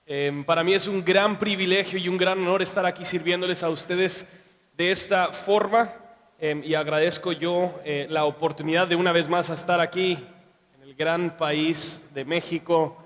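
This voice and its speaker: A middle-aged pastor who grew up in Mexico, preaching at a church conference in an earnest, measured way. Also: male voice, high-pitched, steady tone, a bit bright. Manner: earnest, measured delivery with pauses. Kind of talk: conference sermon. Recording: echoey hall with long reverb.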